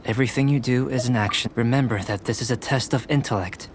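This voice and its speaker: An actor playing a calm, politely detached young male researcher in a video game, speaking in a measured, precise, formal way. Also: medium pitch, slight background noise, close to an everyday voice. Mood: instructive.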